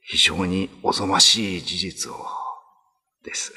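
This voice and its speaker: A man's voice: low voice, level tone, measured pace, long reverb tail, a little hiss or hum, heavily acted. Man acting as a grave, hesitant manservant sharing secrets. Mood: grave, confiding. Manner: hesitant, formal, hushed confiding delivery. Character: grave male servant confiding a horrible secret, deferential. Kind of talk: Japanese radio drama acting.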